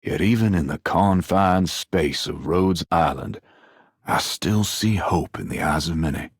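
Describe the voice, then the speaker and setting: A man voicing a learned, grave but hopeful gentleman in a video game, deep, husky, formal and contemplative. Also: a little slow, no echo, clean studio sound. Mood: thoughtful, hopeful. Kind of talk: game character voice acting.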